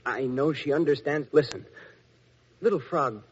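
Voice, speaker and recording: A man's voice: fairly high pitch, a little slow, breathy edge. A man playing an earnest, tender young prince and devoted son in a theatrical fairy-tale audio play. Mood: gentle. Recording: dull, slightly noisy recording.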